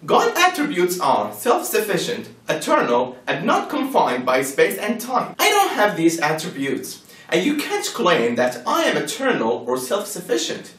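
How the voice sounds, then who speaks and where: Guy presenting a religious argument, pretty high voice that swings all over, emphatic, sounds a bit far from the mic. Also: wildly swooping pitch, unhurried, few pauses, a bit bright. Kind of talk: scripted persuasive narration, rhetorical questions. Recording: echoey room, distant from the mic.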